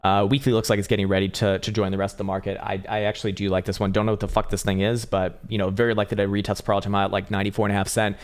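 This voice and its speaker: A man hosting a live crypto trading stream, talking loosely and profanely with halting restarts as he reads viewer chat, his voice getting strained and hoarse. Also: fairly low pitch, little pitch movement. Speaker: crypto trading livestream host. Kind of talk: casual live-stream chart commentary.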